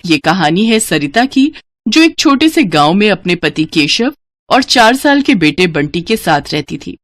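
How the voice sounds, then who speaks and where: A woman's voice: lowish voice, big pitch swings, measured pace, a bit bright, slight background noise. A woman giving scripted narration of children's moral stories as a storyteller.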